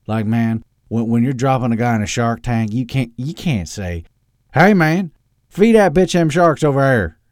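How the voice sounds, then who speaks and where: A man's voice: mid-range voice, lively intonation, moderate pace, no echo, clean studio sound, voice pushed up, close to an everyday voice. A man voicing an eager, insecure mad-scientist villain in an audiobook, rambling and theatrical, with a Southern accent that wanders into mock-Austrian. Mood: casual, eager. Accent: American South, wandering to mock-Austrian.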